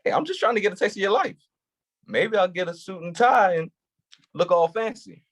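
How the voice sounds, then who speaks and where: An actor playing a hurt, defensive male police officer in a staged play reading, touchy and quick to snap back. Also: high-pitched, expressive.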